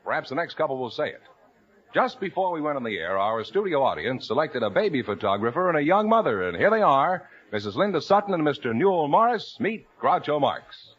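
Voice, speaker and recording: Male 1950s announcer for sponsor reads and show rules, emphatic, higher-set voice with some rasp, muffled radio sound. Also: very animated, a little fast, a bit bright. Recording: dull 1950 radio recording.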